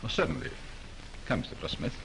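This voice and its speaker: An actor playing an obliging male studio official with a gravelly, hesitant way of speaking, in 1930s film style. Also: medium pitch, sing-song, brisk, fairly bright tone, a little hiss or hum, full character voice, rough recording.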